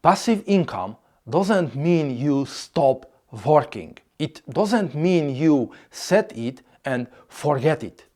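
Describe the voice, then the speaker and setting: Slow-speaking man with a Hungarian accent. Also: fairly high pitch, animated, warm tone. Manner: measured, slightly non-native phrasing. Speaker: YouTuber. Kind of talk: scripted vlog narration.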